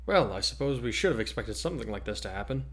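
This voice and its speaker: A man's voice: lowish voice, lively intonation, fast, lightly acted. Man voicing an earnest, formal teenage boy. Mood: resigned.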